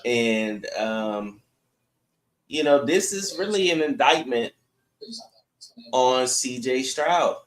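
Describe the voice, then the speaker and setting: Roomy echo, man, average pitch, sports podcast host, casual commentary, blunt, opinionated. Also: lively intonation, takes it slowly, long pauses, a little hiss or hum. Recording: echoey room sound.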